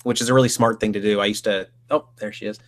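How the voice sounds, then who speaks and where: A man's voice: on the low side, steady tone, quick pace. A man who is guest host on a book podcast, chatting casually and rambling with many restarts.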